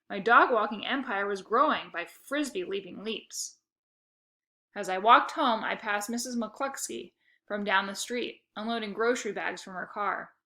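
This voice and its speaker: A woman reading a children's chapter book aloud in a lively storytelling manner. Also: a little high. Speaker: reader.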